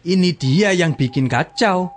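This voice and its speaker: A man's voice: sits high, lively intonation, measured pace. A man playing a wise, inquisitive village stall host in a scripted comic drama, his talk full of exclamations. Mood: indignant, concerned. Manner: exclamatory, punctuated with interjections. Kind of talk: scripted comic radio-style drama dialogue.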